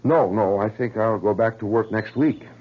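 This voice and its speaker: A man's voice: on the low side, normal speed, lightly acted. An actor playing a troubled, paranoid male scientist, weary and suspicious, in a 1970s radio drama. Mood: subdued, resigned. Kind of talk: 1970s radio drama acting and first-person narration. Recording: dull vintage radio recording, dramatic music behind.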